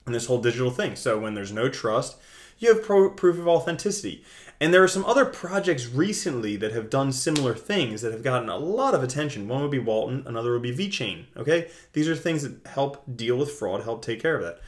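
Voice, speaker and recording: A young man hosting a casual video, talking through his screen in a chatty, jokey way with big swings in loudness. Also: medium pitch. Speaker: crypto video host. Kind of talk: casual screen-share commentary.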